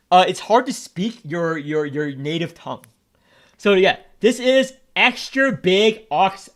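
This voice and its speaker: Young man, casual streamer, provocative and crude, high voice that swings a lot. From United States. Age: young adult. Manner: brash, joking, crude and provocative.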